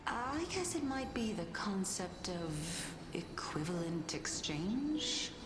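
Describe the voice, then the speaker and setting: A wise, reserved woman scholar in a game drama. Her voice sits a little low and moves very slowly, with deliberate, understated phrasing. It rings with clear echo. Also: sing-song. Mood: thoughtful, reserved. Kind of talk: scripted dramatic game dialogue. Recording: echoey, music behind.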